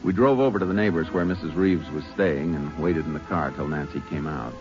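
A calm lawman narrator in a 1950s radio western, very deep voice; dull old broadcast with faint music. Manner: calm, measured, matter-of-fact. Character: steady Texas Ranger, calm lawman narrator.

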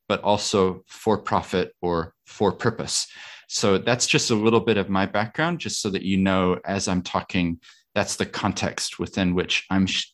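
A middle-aged man hosts a podcast and panel event in a fairly low voice. He speaks as the one introducing guests and setting out the session. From New Zealand. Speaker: podcast host and panel chair.

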